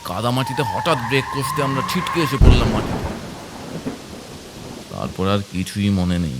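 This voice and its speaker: A man narrating a scripted first-person horror story as its storyteller. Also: fairly low pitch. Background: prominent background music.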